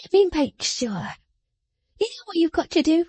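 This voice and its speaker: A woman reading aloud, voicing a sharp-tongued, bossy young dressmaker girl who scolds with snapping emphasis. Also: extremely high pitch, sing-song, normal speed, no echo, voice pushed up. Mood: scolding.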